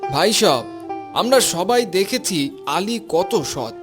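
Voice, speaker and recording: A high-pitched village imam acted by a man addresses people in a formal, fair-minded way. He sounds like an authority in a moral cartoon, with music playing clearly behind him. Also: big pitch swings, slow pace, heavily acted. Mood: solemn, declaring. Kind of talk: Bengali moral cartoon dialogue.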